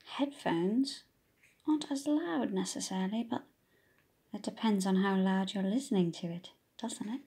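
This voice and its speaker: A woman's voice: mid-range voice, normal speed, mellow, husky. A woman reading a children's story aloud expressively, with engaging, questioning asides like a teacher talking to young listeners. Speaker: audiobook reader for children.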